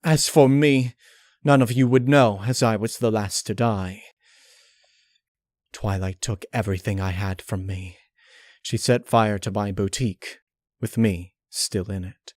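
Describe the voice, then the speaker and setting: A man voicing a refined, vengeful female pony in a dark storybook reading, with formal, condescending, theatrical diction. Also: lowish voice, measured pace, dry recording, studio quality, close to an everyday voice. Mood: cold, accusing. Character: refined vengeful female pony, chillingly polite, unhinged underneath.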